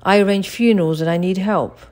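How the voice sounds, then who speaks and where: A woman voicing a thin, gloomy, miserly male undertaker in a storybook, measured and matter-of-fact. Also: medium pitch, fairly even intonation, a little mellow, pitched above the performer's own voice, lightly acted. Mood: businesslike.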